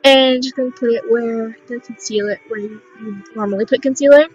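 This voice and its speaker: A young woman with a raspy voice, casually narrating a makeup tutorial in a rambling, unsure, self-deprecating way. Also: a little high, fairly even intonation, fairly quick, a little mellow, muffled recording, faint music behind.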